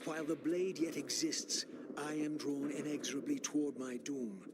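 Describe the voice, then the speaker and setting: A man voicing a brooding, embittered undead avenger in a video game, speaking in solemn, formal, measured phrases. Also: a little high, fairly even intonation, background noise, lightly acted. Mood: cold defiance. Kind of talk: dramatic video game voice acting. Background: music.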